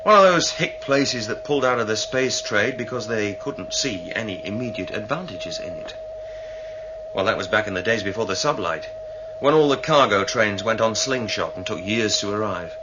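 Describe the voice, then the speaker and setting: A man's voice: lowish voice, expressive, normal speed, natural voice. A man playing a sceptical, practical starship crewman in a 1980 sci-fi radio drama, dismissive and matter-of-fact. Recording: muffled, noisy old radio recording.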